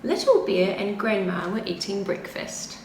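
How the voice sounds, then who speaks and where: A woman narrates a children's storybook at a slow pace with a very varied melody. She is middle-aged and reads for preschoolers. The room adds echo and there is faint background noise. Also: medium pitch, sing-song, distant mic. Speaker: preschool story-time reader. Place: indoors, small plain room. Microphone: camera a short distance away.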